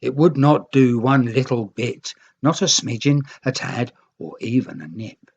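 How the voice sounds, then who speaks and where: Storyteller reading a rhyming kids book, takes it slow, really expressive, sound a bit muffled. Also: medium pitch, gravelly, breathy edge. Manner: expressive, theatrical read-aloud delivery. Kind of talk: scripted storybook narration in rhyme.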